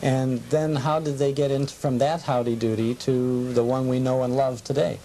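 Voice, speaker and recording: Creaky-voiced American man hosting an interview. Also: medium pitch, little pitch movement, normal speed, dark tone. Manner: inquisitive, checking details with follow-up questions. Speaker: host. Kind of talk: conversational interview. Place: television studio. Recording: noisy, deteriorated old cable TV tape.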